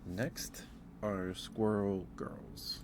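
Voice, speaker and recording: A soft-spoken man hosting a casual ASMR livestream, rambling and thinking out loud in a hesitant way. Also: on the low side, steady tone, very slow, noisy background. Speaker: livestream host. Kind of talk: casual ASMR livestream chat, ranking aloud.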